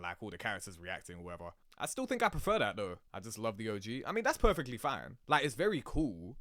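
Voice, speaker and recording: A man giving casual, slangy, animated reaction commentary as a video host. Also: on the low side, clean tone, dry recording, clean studio sound.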